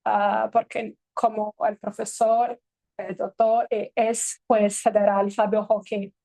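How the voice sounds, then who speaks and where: A Brazilian woman, a lawyer and native Portuguese speaker, giving a halting, measured academic talk at a panel table microphone. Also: mid-range voice, flat delivery, creaky, dark tone, dry recording. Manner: measured, halting, many pauses. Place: university event hall.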